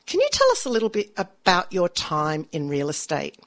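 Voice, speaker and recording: A woman's voice: on the low side, wildly swooping pitch, normal speed. A woman, hosting an interview show in an upbeat, enthusiastic way. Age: 60s. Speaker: podcast host. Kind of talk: scripted intro then interview chat.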